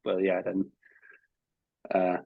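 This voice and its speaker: Dutch man, lowish voice, dry close sound, halting interview guest. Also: completely flat delivery, vocal fry, dry recording, phone-quality sound. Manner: hesitant, repeats words while searching for phrasing. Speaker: guest, travel video creator. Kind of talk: casual interview talk.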